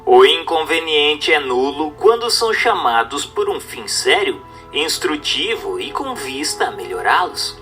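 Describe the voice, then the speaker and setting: A man with a Brazilian accent reads a religious text aloud as a narrator, in a formal, measured, didactic manner over music. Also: fairly high pitch, sing-song, pushed and bright. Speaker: narrator reading a book aloud. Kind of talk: scripted audiobook-style narration of a religious text.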